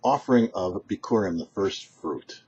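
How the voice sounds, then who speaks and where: A man, a rabbi hosting a short religious teaching, speaking emphatically and deliberately with dramatic pauses. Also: fairly low pitch, lively intonation, darkish tone, breathy edge. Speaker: rabbi, show host. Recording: muffled, dull lo-fi sound.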